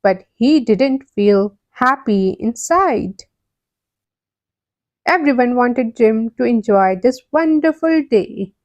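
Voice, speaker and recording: A woman reading a children's story aloud for language learners in a deliberate, expressive way. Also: a little high, no room sound, muffled recording. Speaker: story reader for English learners. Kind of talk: scripted read-aloud children's narration.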